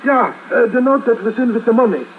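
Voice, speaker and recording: High-voiced nervous shopkeeper. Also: male voice, lively intonation. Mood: anxious, eager. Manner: halting, stammering, flustered. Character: nervous, eager, fussy male store owner. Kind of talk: 1950s radio drama acting. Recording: hissy, phone-like old radio recording.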